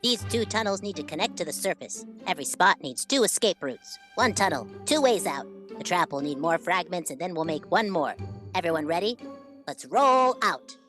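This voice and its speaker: A stern but fair mouse foreman, acted by a woman, brisk and businesslike. The voice is on the low side for a woman and very animated, an audio drama part over faint music.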